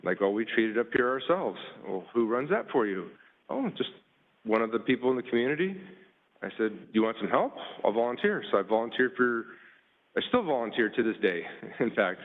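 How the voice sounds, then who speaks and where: Halting man on a tinny line. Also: medium pitch, sing-song. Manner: halting, many fillers. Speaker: panelist, water utility worker. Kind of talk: presentation with slides, casual.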